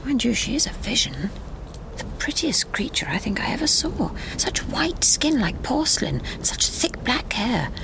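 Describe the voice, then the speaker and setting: Animated, opinionated governess. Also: female voice, on the high side, vocal fry, whispered, rough recording. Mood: admiring. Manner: brisk, assured, expressive. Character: kindly, opinionated, pious governess, a grown woman. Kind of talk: gothic period audio drama acting. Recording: faint background noise and ambience.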